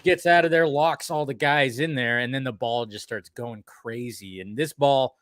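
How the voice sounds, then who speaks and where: Excited dude recapping a cartoon, throws in little acted-out quotes, dry close mic. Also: male voice, medium pitch, dry recording. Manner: animated, swears casually, acts out scenes in quick quotes. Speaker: podcast co-host. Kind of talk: casual chat recapping a cartoon episode.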